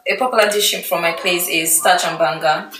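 A woman's voice: fairly low pitch, fairly even intonation, fairly quick, distant mic, crowd noise. A woman from Delta State with a Nigerian accent, a student guest explaining hesitantly. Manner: hesitant, unsure how to explain. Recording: echoey room with voices around.

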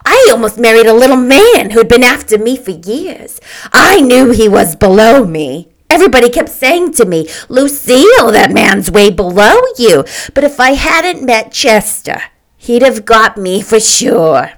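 A woman voicing a shrill, vigorous, self-satisfied married woman in a storybook. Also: very animated, unhurried. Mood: vigorous, boastful.

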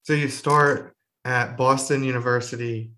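A man's voice: medium pitch, steady tone, unhurried, bright, forceful tone. A man hosting a podcast, asking casual, inquisitive questions and often checking with "right?". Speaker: podcast host. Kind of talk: interview questions.

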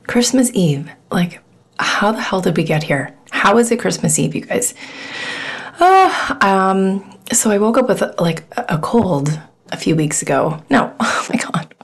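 A woman's voice: medium pitch, very animated, unhurried, husky. A woman hosting her own podcast with a head cold, so her voice is nasal and congested. She talks in an earnest, rambling way, full of fillers. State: has a cold. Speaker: podcast host. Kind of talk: casual solo podcast talk.

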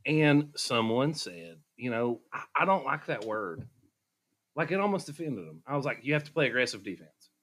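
Husky, animated man. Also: mid-range voice, moderate pace. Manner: animated storyteller, laughs easily. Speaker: podcast co-host. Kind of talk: casual podcast chat. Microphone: studio microphone.

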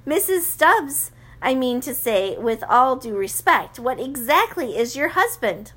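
A woman's voice: sits high, big pitch swings, a little slow, fairly bright tone, husky, full character voice. A woman doing a nervous, fluttery fretting lady. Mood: worried. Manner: fluttery, repeats herself anxiously.

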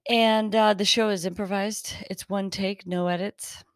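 A woman hosting her own improvised podcast, talking in a chatty, rambling way, her voice raspy and tired, partly lost. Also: medium pitch, fairly even intonation, unhurried, no echo. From Northern California. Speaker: podcast host. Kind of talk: improvised solo talk. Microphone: close mic.

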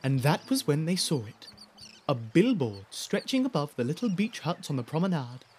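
A man narrating an audio drama like a wry storybook teller, measured and theatrical. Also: on the high side, very animated, slight background noise. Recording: very loud music bed behind.

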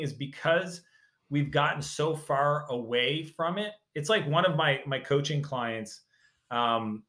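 Male guest, bright and forceful tone, emphatic storyteller, roomy sound. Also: medium pitch. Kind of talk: casual interview talk.

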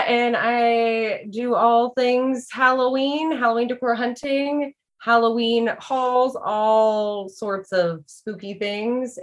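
Lady doing a lil promo shoutout, bit high voice, talks real slow. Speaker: Halloween content creator giving a promo. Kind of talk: slow recorded greeting promo.

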